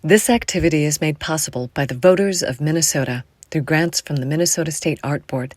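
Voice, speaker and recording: Low-voiced woman announcer, dry recording. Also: brisk, a little mellow, dry recording. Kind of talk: scripted presentation announcement.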